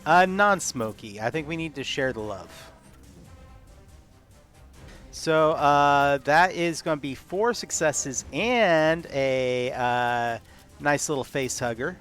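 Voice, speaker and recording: A man's voice: a little high, slow pace, fairly bright tone, smooth. A man playing in a live tabletop roleplaying game, talking through his moves in casual banter. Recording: music behind the voice. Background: background music.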